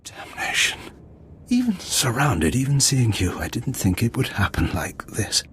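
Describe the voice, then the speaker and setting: An actor playing a bitter, grandiose revolutionary leader in a video game, speaking in deliberate, theatrical speeches. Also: male voice, mid-range voice, sing-song, a bit bright, a little hiss or hum. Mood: defiant.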